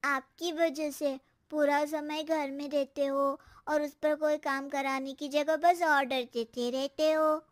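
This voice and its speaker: Slow, high-pitched cheeky little boy. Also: flat delivery. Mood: matter-of-fact. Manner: drawling, smart-alecky. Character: lazy, cheeky schoolboy son who talks back. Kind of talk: animated story dialogue.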